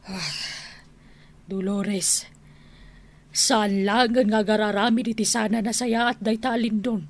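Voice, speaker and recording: A woman's voice: mid-range voice, steady tone, takes it slowly. A woman acting a feisty, combative village woman who scolds and threatens in an Ilocano radio drama. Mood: warning.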